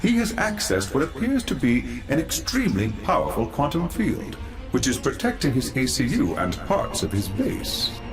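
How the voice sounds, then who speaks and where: Eccentric patronizing scientist mastermind. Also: male voice, mid-range voice, wildly swooping pitch, normal speed. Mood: measured. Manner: formal, wordy, patronizing. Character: eccentric, paternal older male scientist mastermind, coolly condescending. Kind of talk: video game cutscene acting. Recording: music behind the voice.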